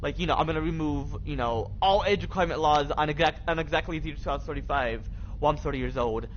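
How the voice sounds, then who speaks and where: Rambling young American man, higher-pitched, muffled mic. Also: quick pace. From Michigan, United States. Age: young adult. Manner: rambling, repetitive, heavy slang. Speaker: live streamer. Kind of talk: casual game livestream chat. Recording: dull lo-fi mic with faint noise.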